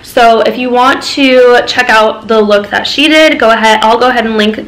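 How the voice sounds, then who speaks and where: A woman's voice: a little high, fairly even intonation, normal speed, a bit bright, low-quality recording. A young woman chatting casually to camera as a beauty vlogger, her voice a little off from being sick, with lots of ums and likes. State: sick, voice a bit off.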